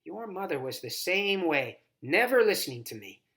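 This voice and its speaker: A man's voice: high voice, very animated, moderate pace, pushed and bright, pitched above the performer's own voice, heavily acted. A man voicing a stern, nagging old grandmother in a storybook, insistent and scolding.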